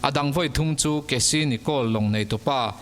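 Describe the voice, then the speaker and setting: Reverberant male storyteller, lower-pitched voice. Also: fairly quick, a bit bright. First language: Mizo. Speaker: narrator of mystery case stories. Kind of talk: scripted storytelling narration. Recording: long reverb, music underneath. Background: background music.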